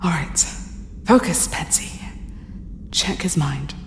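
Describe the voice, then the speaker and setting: A woman acting a proud, sharp-tongued young telepath in an audio drama, speaking in a curt, assertive way. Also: sits low, slow, slight background noise. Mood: focused.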